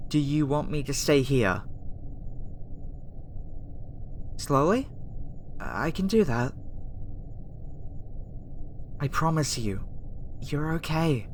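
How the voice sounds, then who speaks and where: A woman voicing a gentle, flustered young female alien scientist in an ASMR roleplay, speaking soothingly and hesitantly with stammers. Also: sits low. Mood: gentle, reassuring. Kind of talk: ASMR roleplay audio acting. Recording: faint background noise under the voice.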